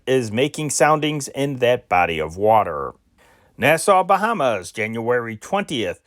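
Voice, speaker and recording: Man reading old news dispatches. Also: fairly high pitch. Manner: steady read-aloud delivery with occasional stumbles and restarts. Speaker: news reader.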